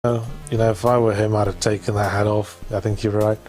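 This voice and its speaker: A man's voice: lowish voice, level tone, fairly quick, a little mellow, soft background music, poor audio. A man giving casual, comedic game commentary in a dry, wry and wordy manner. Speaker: host of a retro game commentary show.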